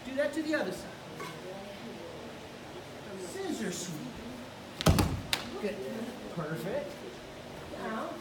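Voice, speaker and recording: A woman's voice: a little high, big pitch swings, very slow, long pauses. Woman coaching on a gym mat. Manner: encouraging, coaching step by step while grappling. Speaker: jiu-jitsu instructor. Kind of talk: hands-on technique teaching. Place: large indoor gym with mats. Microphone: camera far across the room. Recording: echoey gym from far off, noisy.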